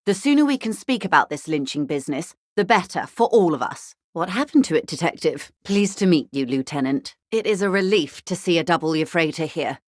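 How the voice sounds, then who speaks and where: An actress playing a poised, well-bred corporate negotiator in a video game, speaking in an articulate, measured, drily ironic way. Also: female voice, fairly low pitch, animated, no echo, clean studio sound. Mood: polite concern. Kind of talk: scripted video game dialogue.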